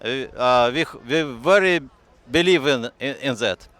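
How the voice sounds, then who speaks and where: A man from Belarus, speaking haltingly and repeating words in an unscripted interview. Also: mid-range voice, expressive, a bit bright, no room sound. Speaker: rally participant giving an opinion.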